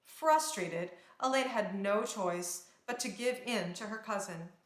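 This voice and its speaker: A woman's voice: medium pitch, a little slow. A woman narrating a children's storybook aloud, reading expressively and carefully. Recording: roomy indoor echo.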